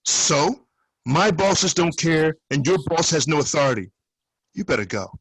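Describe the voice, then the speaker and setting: Guy doing gruff husky soldier type for a screenplay read, blunt, pitch jumps around a lot. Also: animated, unhurried, no room sound, full character voice, rough recording. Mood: dismissive, impatient. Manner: curt, blunt. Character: gruff middle-aged male government soldier, curt and dismissive.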